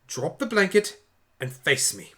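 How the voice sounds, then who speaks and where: A man's voice: a little high, big pitch swings, measured pace, sharp tone, exaggerated voice. A man voicing a brainy, flustered, eccentric time-traveling doctor, wordy and pedantic, in a fan audio drama. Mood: awkward, exasperated.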